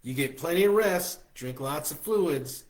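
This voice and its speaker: A man's voice: fairly high pitch, expressive, unhurried, voice pushed up. A man voicing a brassy, bossy woman nurse friend who teases and scolds with salty talk. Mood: teasing.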